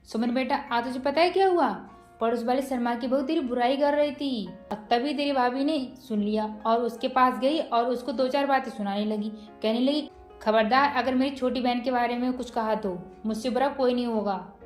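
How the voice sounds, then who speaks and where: A woman's voice: fairly high pitch, normal speed, natural voice. A woman playing a middle-aged, scheming mother-in-law in a family moral-story skit, firm and authoritative. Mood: sly coaxing. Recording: roomy echo with music behind.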